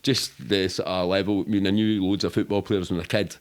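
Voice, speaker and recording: A man's voice: low-pitched, steady tone, quick pace. A middle-aged man with a Glaswegian accent, telling rambling, sweary, matter-of-fact anecdotes in casual interview chat. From Scotland. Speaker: podcast guest.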